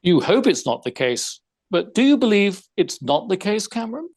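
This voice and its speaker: A formal, animated private detective. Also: male voice, high-pitched, moderate pace. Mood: probing. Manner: formal, precise, questioning. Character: male private detective interviewing suspects, probing and formal. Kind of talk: audio theater acting.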